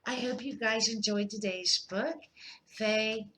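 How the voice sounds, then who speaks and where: An older woman reading a children's picture book aloud in an expressive, deliberate storytelling manner. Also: fairly high pitch, rough recording. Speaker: amateur picture-book reader for grandchildren.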